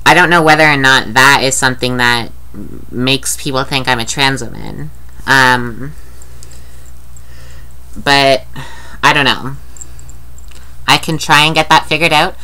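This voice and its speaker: A woman's voice: very deep voice, a little slow, long pauses, sharp tone, clean tone. A woman with a nasal voice, talking in a rambling, candid video diary and swearing casually. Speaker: vlogger.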